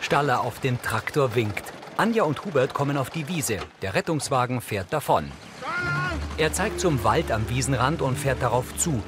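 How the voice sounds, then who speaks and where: A man's voice: medium pitch, animated, moderate pace. Neutral male narrator over music. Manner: neutral, factual. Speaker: audio description narrator. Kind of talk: scripted narration, present-tense scene description. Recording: music behind the voice.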